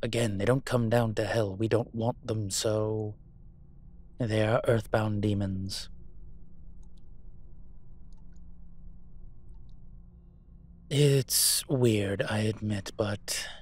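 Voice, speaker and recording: A man playing a suave, teasing demon professor in an audio roleplay, with a wry, conversational delivery and a husky voice. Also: lowish voice, fairly even intonation, takes it slowly, darkish tone. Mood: wry, musing. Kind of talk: intimate audio roleplay acting.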